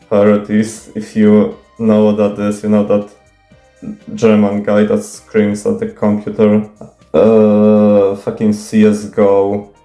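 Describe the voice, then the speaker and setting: A man's voice: fairly low pitch, warm tone. A young man, a native Polish speaker, nervously answering viewer questions off the cuff, stuttering and restarting often. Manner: hesitant, stuttering, many fillers and restarts; nervous, self-conscious, casual swearing. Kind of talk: unscripted Q&A monologue. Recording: echoey room, faint music.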